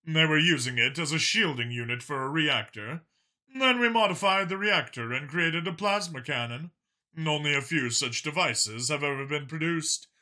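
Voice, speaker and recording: A man's voice: fairly high pitch, pushed and bright. A man voicing a confident, grandiose male dragon leader in an audiobook, genteel and pompous.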